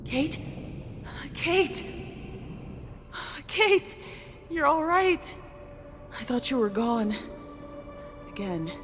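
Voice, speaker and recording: A woman's voice: high voice, big pitch swings, drawn-out words, long pauses, faint music behind, full character voice. An actress playing a loyal, concerned, down-to-earth woman crewmate in a video game cutscene. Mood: relieved alarm.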